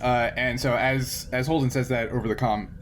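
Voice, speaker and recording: A man's voice: medium pitch, normal speed, slight background noise. A man running a tabletop game as game master, narrating casually with many fillers. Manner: relaxed, filler-heavy narration.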